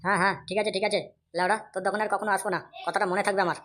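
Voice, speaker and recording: A woman's voice: mid-range voice, level tone, very fast, fairly bright tone, close to an everyday voice. A woman voicing a stingy young man in a comedy cartoon who frets and grumbles with lots of swearing. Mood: grumbling. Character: stingy young man, fretful and short-tempered. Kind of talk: Bengali comedy cartoon dialogue.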